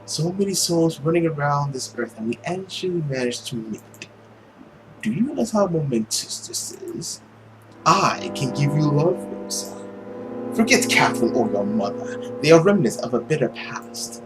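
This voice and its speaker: A man voicing a suave, mocking, ancient villain from a visual novel, with a theatrical, drawn-out and sardonic delivery. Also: a little high, sing-song, music in the background, poor audio. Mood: smooth persuasion.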